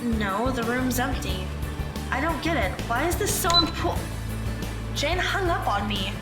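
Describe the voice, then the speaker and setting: A woman's voice: high voice, expressive, a little slow. A woman playing a curious teenage magical girl. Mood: puzzled. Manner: curious, questioning, plainspoken. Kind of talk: audio drama acting. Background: background music.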